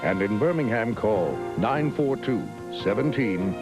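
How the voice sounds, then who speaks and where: A man's voice: lowish voice, lively intonation, unhurried, over loud music. A man reading a scripted television station promo as an announcer.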